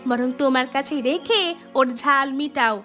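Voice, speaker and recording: A woman's voice: high-pitched, moderate pace, pushed and bright, soft background music. A woman voicing a sly young village gossip who stirs up trouble in a moral-tale cartoon. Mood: gleefully scheming. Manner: conspiratorial, gossipy.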